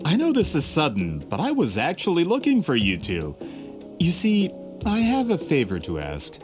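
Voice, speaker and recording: A formal, persuasive publisher, pitch swinging a lot; game dialogue. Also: male voice, huge pitch swings, moderate pace, music in the background. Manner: formal, courteous, salesman-like. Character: polished adult male book publisher, courteous, persuasive. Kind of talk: video game voice acting.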